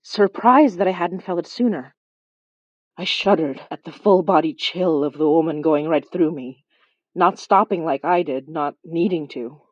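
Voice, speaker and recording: An actress playing a compassionate supernatural investigator, narrating a horror audio drama in an introspective, wryly understated way. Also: female voice, on the low side, no echo, muffled recording, close to an everyday voice. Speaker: narrator and lead character.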